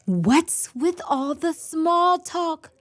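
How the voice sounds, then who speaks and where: A woman playing a fiercely competitive, driven high-school team captain in an audio drama. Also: big pitch swings, drawn-out words, dry recording, studio quality, full character voice. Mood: stressed, pushy. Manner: driven, insistent. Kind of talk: scripted audio drama acting.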